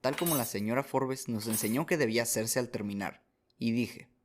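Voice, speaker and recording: A man's voice: medium pitch, normal speed, slight background noise. A man reading scripted first-person story narration as the narrator of a radio drama.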